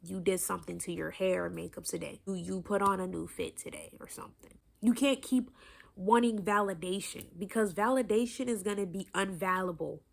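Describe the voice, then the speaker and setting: A woman's voice: medium pitch, brisk, vocal fry. A young woman with a stuffy nose giving an earnest, preachy motivational talk straight to her viewers. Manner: earnest, preachy, direct address. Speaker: vlogger.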